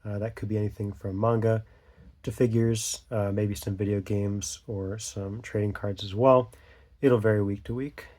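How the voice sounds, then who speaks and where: A young man with a low, mellow, stuffy voice, getting over a cold. He rambles through an unscripted vlog in a flat, even tone, full of ums and trailing thoughts. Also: moderate pace. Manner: hesitant, many fillers and trailing thoughts. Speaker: channel host.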